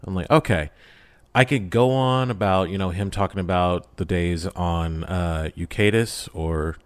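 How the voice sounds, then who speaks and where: Deep-voiced man in casual podcast chat. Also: a little slow, clean tone, no room sound. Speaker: podcast guest, audio drama creator.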